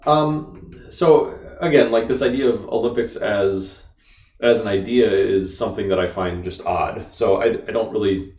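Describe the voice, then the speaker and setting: American male co-host, rambling explainer, lower register, distant mic. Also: normal speed, darkish tone. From United States. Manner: explains ideas at length, frequent "like" and "you know". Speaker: podcast co-host. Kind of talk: casual discussion. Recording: dull, echoey room, off-mic.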